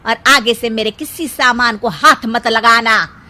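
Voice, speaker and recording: A woman's voice: fairly high pitch, a little slow, sharp tone. A woman playing a sarcastic, easily enraged middle-aged mother-in-law in a cartoon moral story, with a theatrical, taunting manner. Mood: angry, bossy. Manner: theatrical, taunting, indignant exclamations. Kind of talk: dramatized Hindi moral story dialogue.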